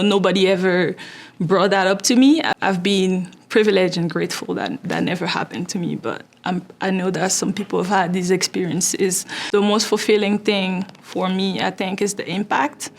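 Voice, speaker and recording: Ivorian woman, reflective interview voice. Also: medium pitch. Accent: French-speaking West African. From Ivory Coast. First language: French. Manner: reflective, many "like" and "you know" fillers. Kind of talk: documentary interview. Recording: faint music behind.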